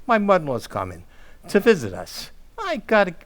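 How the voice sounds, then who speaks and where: A man's voice: high-pitched, huge pitch swings, brisk. A man playing a middle-aged, blustering working-class family man in old-time radio comedy style, with folksy ungrammatical speech and comic stammers. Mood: casual, defensive.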